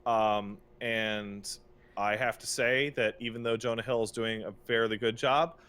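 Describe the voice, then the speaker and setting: A man's voice: on the low side, steady tone, a little slow, a bit bright, clear, resonant tone. A man co-hosting a podcast, talking casually and with opinions about games, stressing his points emphatically. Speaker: podcast co-host.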